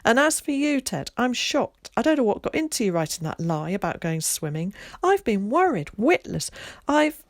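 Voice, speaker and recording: A woman reading an audiobook, voicing a frantic middle-aged mother, scolding in a high, breathless rush. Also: big pitch swings, moderate pace, hardly any pauses, dry recording, clean studio sound.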